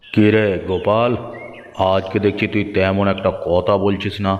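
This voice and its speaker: A man's voice: fairly low pitch, flat delivery, moderate pace, lo-fi sound, lightly acted. Man as wealthy village chief, proud, authoritative, cartoon, echoing hall sound. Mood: imperious. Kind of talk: cartoon dialogue acting.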